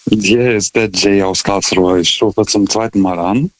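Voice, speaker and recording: A man's voice: lowish voice, fairly even intonation, a little slow. A man, not a native speaker of the language, calling in to a radio show and talking hesitantly with many fillers and restarts. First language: not German. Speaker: caller. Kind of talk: call-in radio chat. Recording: dull phone-line audio.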